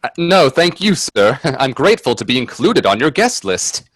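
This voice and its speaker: An actor playing a nervously polite, incredulous gentleman guest in a radio play, stammering and deferential. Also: mid-range voice, very animated, moderate pace, husky, dry recording. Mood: polite, tentative. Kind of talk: radio play acting with narration.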